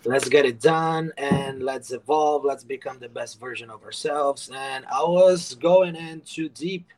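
A man's voice: mid-range voice, measured pace, a little mellow, low-quality recording. A man speaks in a mid-range voice as a podcast guest. He talks in an earnest, rambling way and leans on lots of fillers as he tells his story. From Brazil. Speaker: guest author. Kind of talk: casual podcast interview.

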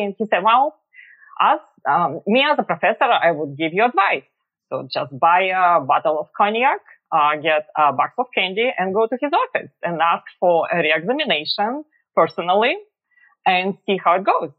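Guest, woman in her 40s, Russian accent, halting delivery, thin phone-line sound. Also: on the low side. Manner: halting, searching for words, frequent pauses. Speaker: podcast guest. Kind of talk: personal story in an interview.

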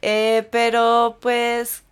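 A woman's voice: fairly high pitch, completely flat delivery, drawn-out words, clear, resonant tone. A woman from Spain with a Spanish accent, a yoga teacher chatting as a podcast guest and telling her story with lots of fillers. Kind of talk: casual conversation.

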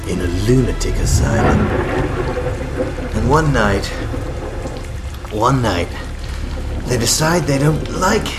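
Wildly swooping deranged villain, music behind. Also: male voice, mid-range voice, big pitch swings. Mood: wry storytelling. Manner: halting, theatrical, erratic swoops in delivery. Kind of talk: superhero audio drama monologue. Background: rain and music.

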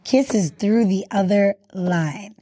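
A woman with a mid-range voice hosts a casual interview at a slow pace. She talks informally, in halting, searching phrases. The recording is close and dry. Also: mid-range voice, dark tone, no echo. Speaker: interview host.

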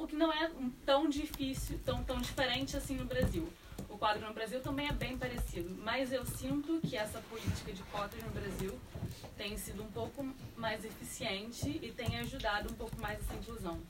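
Brazilian woman, distant and noisy recording. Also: a little high. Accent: Brazilian Portuguese. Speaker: audience member, master's student. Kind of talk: informal spoken question at a public talk. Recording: distant microphone, clear background noise.

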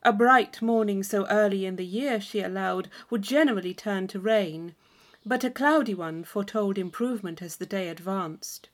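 A woman reading a classic novel aloud as an audiobook narrator, in expressive scripted storytelling. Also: mid-range voice, normal speed. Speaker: audiobook reader.

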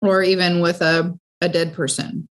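A woman's voice: lowish voice, level tone, moderate pace. A woman talking casually as a player in a tabletop role-playing game. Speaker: player on a game show podcast.

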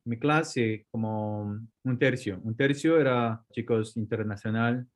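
Hombre con acento coreano, hablar vacilante. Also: male voice, medium pitch, takes it slowly. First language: Korean. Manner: hesitant, restarts words, mixes in English. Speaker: guest surgeon. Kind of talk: casual interview.

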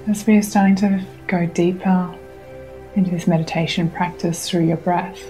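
Low, even-toned woman guiding meditation over music. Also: rough recording. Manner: soothing, unhurried, gently instructing with long pauses. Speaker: meditation guide. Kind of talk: guided meditation. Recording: music under the voice.

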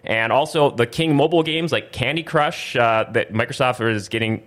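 Male podcast host, medium pitch, informal news-chat delivery with rambling explanations. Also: normal speed, a bit bright. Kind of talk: casual news discussion.

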